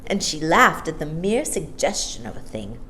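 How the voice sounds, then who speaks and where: A woman's voice: low voice, sing-song, moderate pace, bright, forceful tone, slight background noise, poor audio. A woman narrating a children's story expressively from a script as a storyteller.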